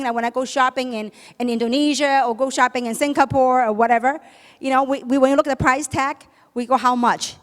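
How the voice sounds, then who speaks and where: High-pitched woman speaker in an echoing hall. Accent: Chinese. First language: Chinese. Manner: emphatic, rhetorical questions answered by herself. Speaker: motivational business speaker. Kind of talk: motivational sales seminar talk to an audience. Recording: echoey hall, long reverb.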